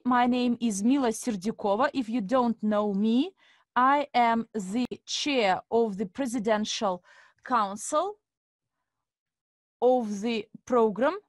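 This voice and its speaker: A woman's voice: on the high side, dry recording. Woman hosting a webinar. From Estonia. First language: Russian. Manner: formal, addresses audience as dear friends. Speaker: webinar host. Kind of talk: live online webinar presentation.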